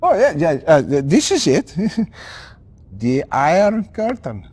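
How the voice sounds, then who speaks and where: An actor playing an excitable, distracted elderly male scientist who talks in halting, rambling bursts in a video game cutscene. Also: fairly high pitch, slightly rough, exaggerated voice. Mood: excited.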